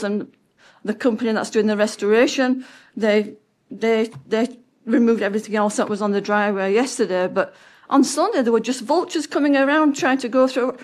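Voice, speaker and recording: A seventy-year-old woman giving public comment at a county meeting, distraught and close to tears, halting and breaking off mid-word. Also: on the high side. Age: 70s.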